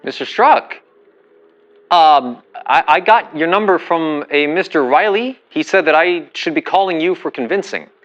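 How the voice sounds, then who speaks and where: An actor playing a hesitant, curious, deferential working-class 1950s stagehand speaking haltingly over a telephone. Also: male voice, fairly high pitch, animated, a bit bright. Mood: nervous. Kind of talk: audio drama acting, telephone dialogue. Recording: dull telephone-style sound, faint music.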